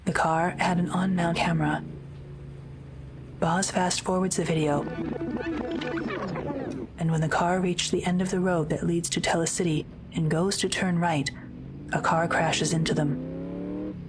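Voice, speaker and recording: A woman's voice: lowish voice, a little slow, long pauses, noisy background, close to an everyday voice. A woman reading scripted scene narration for an audio drama.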